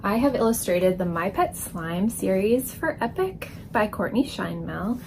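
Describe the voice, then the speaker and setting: A woman in her forties chats casually to camera about her work as an illustrator. Her voice sits at a middle pitch. There is faint noise in the room behind her. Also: expressive, a little slow. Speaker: children's book illustrator and author. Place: indoor room full of books.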